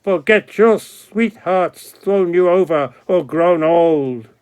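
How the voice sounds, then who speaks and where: A man playing a slow, solemn god of time. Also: sits high, darkish tone, clear, resonant tone. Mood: solemn commanding. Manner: solemn, incantatory, slow, with dramatic pauses. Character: ancient male god of time, grandiose, commanding. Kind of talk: dramatic poetic monologue.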